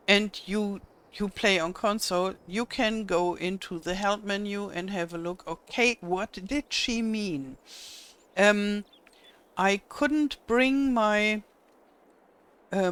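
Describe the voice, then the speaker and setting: A woman's voice: mid-range voice, fairly bright tone, clear, resonant tone. An older woman with a German accent, German being her first language, patiently guiding viewers step by step through a game tutorial with frequent small hesitations. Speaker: gaming tutorial host. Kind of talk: casual game tutorial narration.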